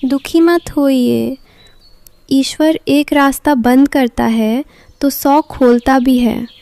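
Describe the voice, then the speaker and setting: A woman voicing a kind, hopeful mother bird in a cartoon story, gentle and encouraging. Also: high voice, steady tone, takes it slowly, no room sound. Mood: comforting. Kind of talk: Hindi cartoon story dialogue.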